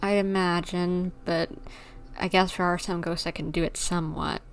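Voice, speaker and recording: Young woman, game streamer, slightly low voice, informal, rambling, halting. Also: little pitch movement, a little hiss or hum. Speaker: video game livestreamer. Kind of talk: casual livestream chat.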